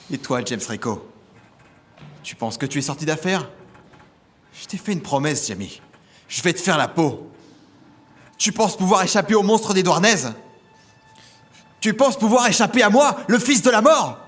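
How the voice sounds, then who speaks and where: An actor playing a lonely, vengeful imprisoned villain in a scripted audio drama, speaking in brooding, literary monologue. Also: male voice, a little high, a bit bright, slight background noise. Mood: menacing fury. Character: vengeful, lonely imprisoned villain, self-styled son of death.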